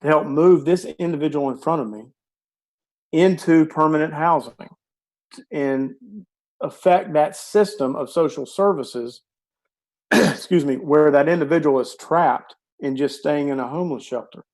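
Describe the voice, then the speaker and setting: A man's voice: on the high side, a little slow, darkish tone. A man in his forties, a guest on a video call, earnestly and carefully explaining practical steps through an earbud mic. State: losing his voice. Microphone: earbud headset on video call.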